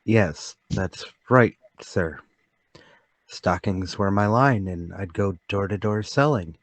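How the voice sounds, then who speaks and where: A man's voice: lowish voice, takes it slowly, no echo. A man playing a meek, forgetful, troubled former traveling salesman in a radio play, polite, apologetic and sorry for himself. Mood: weary. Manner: polite, apologetic, self-pitying. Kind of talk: radio play table read.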